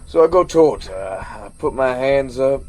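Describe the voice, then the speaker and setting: An actor playing a haunted American soldier, telling his story in a halting, stammering dramatic monologue with an American accent. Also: medium pitch, lively intonation, a little mellow, soft background music, natural voice. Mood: agitated, emphatic. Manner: halting, stammering, repeats words. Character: haunted male American soldier recounting a deadly standoff. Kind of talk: dramatic first-person monologue.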